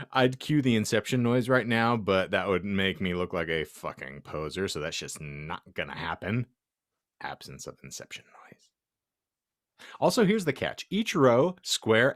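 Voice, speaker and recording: A man's voice: fairly low pitch, dry recording, clean studio sound. A man hosting a comedy podcast, reading fan fiction aloud in a profane, mock-exasperated way between casual chat. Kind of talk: comic dramatic reading mixed with casual chat.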